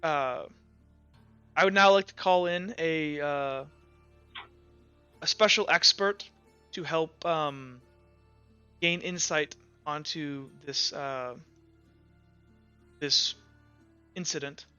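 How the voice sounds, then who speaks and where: A man plays a grave courtroom judge in a tabletop game, measured and procedural. The voice is bright and very clear, picking its formal words with halting care. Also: on the high side, no room sound, dull audio, soft background music. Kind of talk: tabletop roleplay character speech.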